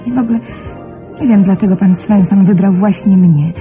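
A woman's voice: mid-range voice, moderate pace, mellow, faint music behind, close to an everyday voice. An actress playing a naive, eager, earnest and polite young woman in a radio play. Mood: happy, slightly anxious. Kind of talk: Polish radio play acting.

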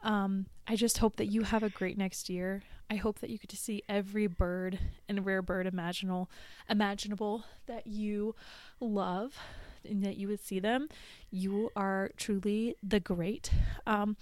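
A woman's voice: mid-range voice, steady tone, unhurried, a little mellow, dry recording. A woman leaving a casual voice message, gushing and rambling in a slangy way. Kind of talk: casual spoken birthday voice message.

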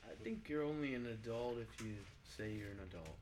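A man's voice: mid-range voice, lively intonation, brisk, darkish tone, a little hiss or hum. A man teasing his friends with absurd questions on a casual podcast. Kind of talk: casual chat among friends.

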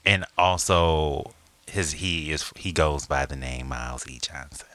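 A man co-hosting a podcast in casual chat, playful and laughing often, jumping between loud bursts and quiet asides. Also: extremely low pitch, a little slow, dry recording. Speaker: podcast co-host.

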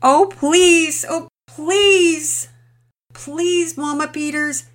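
A woman's voice: sits high, fairly even intonation, extremely slow, pitched above the performer's own voice. A woman voicing a distraught middle-aged mother, pleading and near tears. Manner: pleading, sobbing, near shouting.

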